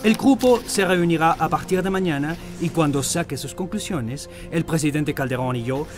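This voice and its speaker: An actor playing an assertive French president delivering formal political speeches in an emphatic, declamatory way. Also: male voice, on the high side, moderate pace, over music, natural voice. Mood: firm, formal. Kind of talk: dramatised political oratory.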